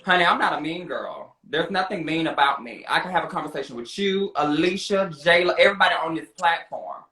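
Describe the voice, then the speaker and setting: Sassy American man, bright and roomy. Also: a little high, a little fast. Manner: sassy, defensive, slangy. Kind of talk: casual confrontational chat. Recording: roomy echo on voice.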